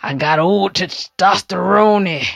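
A woman's voice: medium pitch, takes it slowly, creaky, pitched below the performer's own voice, exaggerated voice. A woman playing a protective, opinionated old country grandfather who grumbles in a folksy, suspicious way. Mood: gruff threatening.

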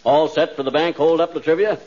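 An actor playing a folksy, bumbling, boastful small-town husband, with breezy frontier talk in 1940s radio comedy style. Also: male voice, fairly high pitch, fairly even intonation, a little fast, darkish tone, natural voice. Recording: muffled 1940s radio recording.

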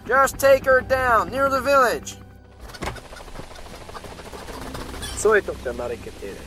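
An actor playing a brisk, worried field doctor in an audio drama. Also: male voice, very high-pitched, very animated, bright, forceful tone, faint music behind, exaggerated voice. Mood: businesslike.

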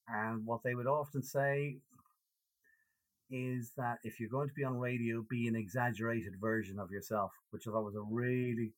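A middle-aged Irish man, a radio DJ, telling stories in a lively way and laughing often in casual interview chat. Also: mid-range voice, poor audio. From Ireland. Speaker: radio DJ, guest.

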